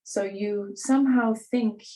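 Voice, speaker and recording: A middle-aged Canadian woman whose accent has shifted from living in German-speaking Switzerland. She answers interview questions thoughtfully, pausing often. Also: mid-range voice, fairly even intonation, dark tone, distant mic, poor audio. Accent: Canadian English flattened by years in German-speaking Switzerland. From Ontario, Canada. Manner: thoughtful, with frequent ums and restarts. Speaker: guest, scientist.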